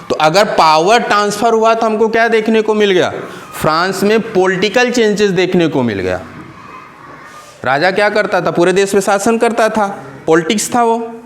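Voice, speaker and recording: A male teacher lectures a classroom in a somewhat high voice. He poses questions and answers them himself, repeating key terms in English and Hindi. A faint hum sits under the recording.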